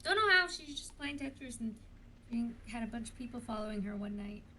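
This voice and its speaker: A woman's voice: fairly high pitch, expressive, a little fast, bright, forceful tone, distant mic, rough recording. A young woman chatting casually with a friend in an easygoing way. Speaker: friend on call. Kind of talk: casual chat. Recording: game gunfire noise behind. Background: game gunfire.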